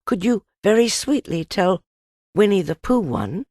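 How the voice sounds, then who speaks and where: A woman's voice: mid-range voice, animated, a little slow, a little mellow, dry recording, studio quality, voice pushed up. A woman voicing an eager, curious, polite little boy in a children's storybook, with an earnest, wheedling manner. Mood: pleading, eager. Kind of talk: children's storybook character reading.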